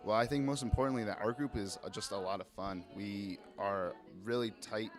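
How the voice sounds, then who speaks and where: A man's voice: lowish voice, fairly quick. A young man from a student a cappella group, speaking in an earnest, encouraging way. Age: young adult. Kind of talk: interview. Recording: music playing behind.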